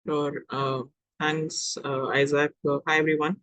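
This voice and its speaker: Man, from India, mid-pitched voice, hesitant speech, many fillers, dry sound. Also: flat delivery, measured pace, fairly bright tone, dry recording, poor audio. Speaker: panelist, psychology-trained. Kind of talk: self-introduction on an online panel.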